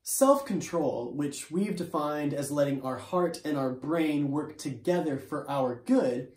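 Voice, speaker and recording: A young man leading a school chapel from home, reading scripture calmly and singing playful children's songs with guitar. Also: a little high, unhurried. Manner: playful, animated when singing, calm and plain when reading. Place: living room, seated on a couch. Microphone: camera in front, upper body framing. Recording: roomy home recording with guitar.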